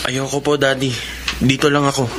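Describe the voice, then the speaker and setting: A man's voice: mid-range voice, monotone, a little fast, background noise, natural voice. A young man acting a hurt, resentful son in a Filipino radio drama. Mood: resigned. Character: hurt, resentful blind son confronting his mother.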